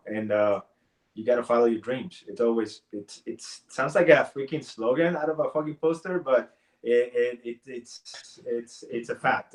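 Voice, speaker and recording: A man's voice: mid-range voice, low-quality recording. A man in his forties from Puerto Rico, a native Spanish speaker, talking as a guest in a casual interview with many restarts and fillers. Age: 40s. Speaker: guest artist.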